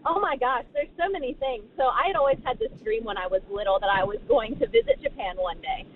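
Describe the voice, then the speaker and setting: Young female caller, quick and high, phone line. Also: very animated. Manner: eager, enthusiastic. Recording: phone line with faint noise.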